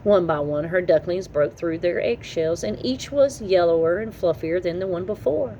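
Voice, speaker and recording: A woman reading a children's story aloud in an expressive, animated storytelling manner. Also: on the low side, normal speed, highs cut off. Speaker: children's story reader and channel host. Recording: noticeable background noise.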